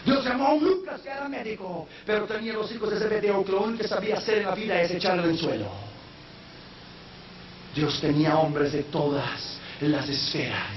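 High-voiced preacher, echoey and distant. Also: male voice, fairly quick. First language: not Spanish. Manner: preaching that swells into shouted, sung-out peaks; slips English phrases into his Spanish. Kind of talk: sermon. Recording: echoey, distant, dull sound with faint noise.

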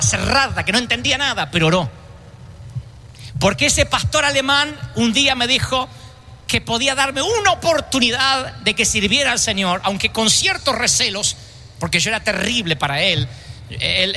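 A man delivers a sermon to a church congregation, pressing his points and throwing questions at the listeners. His voice sits high for a man and rings very bright. Faint noise runs under the recording. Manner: emphatic preaching with rhetorical questions to the congregation. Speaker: preacher. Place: church service.

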